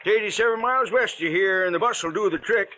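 An actor playing an older, folksy small-town print worker, reciting facts exactly in 1940s radio style. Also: male voice, high voice, fast, sharp tone. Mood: folksy, matter-of-fact. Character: sad-faced, folksy small-town newspaper linotype operator. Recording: dull, faintly noisy old radio recording.

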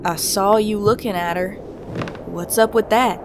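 A woman's voice: medium pitch, fairly even intonation, a little slow, background noise, close to an everyday voice. A woman playing a blunt, forward young woman, direct and matter-of-fact, in a comic dub. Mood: probing. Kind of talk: amateur comic dub acting.

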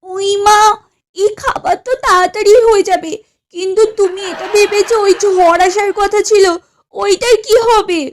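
Woman as an excitable squeaky-high cartoon housewife. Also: moderate pace, slight background noise. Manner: wailing, melodramatic exclamations. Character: excitable, superstitious, dramatic young housewife. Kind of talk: exaggerated comedy cartoon acting.

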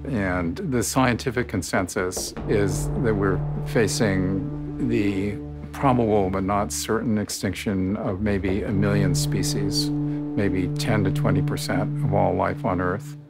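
A man's voice: fairly low pitch, steady tone, slow pace, loud music behind the voice. An older man speaking emphatically and reflectively in a documentary interview. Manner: emphatic, reflective, building to strong points.

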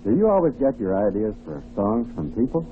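A man plays sidekick on an old-time radio show. His medium-pitched voice swings very widely. The sound is narrow, with faint noise. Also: wildly swooping pitch, a little fast. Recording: noisy, narrow-band old radio recording.

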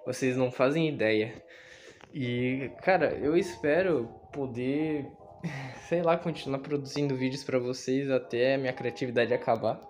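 A man's voice: medium pitch, unhurried, soft background music. A young man with a Brazilian Portuguese accent, chatting casually and self-deprecatingly while filming a tour of his bedroom on a handheld phone. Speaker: youtuber. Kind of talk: casual room tour vlog. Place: small bedroom with red LED light. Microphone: phone camera held in hand.

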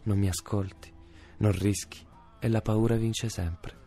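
A man voicing a low, reproachful inner courage. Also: steady tone, unhurried, vocal fry, warm tone, soft background music. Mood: reproachful, earnest. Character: a young man's own courage, reproachful, intimate second-person letter writer. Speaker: narrator reading letters. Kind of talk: radio drama monologue reading.

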